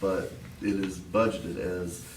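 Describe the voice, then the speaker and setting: A man's voice: sits low, little pitch movement, slow. A man, a city official, plainly explaining a budget point. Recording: echoey chamber, distant mic, background noise.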